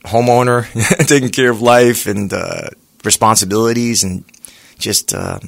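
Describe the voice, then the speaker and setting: A singer being interviewed, talking in a relaxed, rambling way with lots of pauses and fillers. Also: male voice, lowish voice, gravelly. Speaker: singer guest. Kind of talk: casual interview chat.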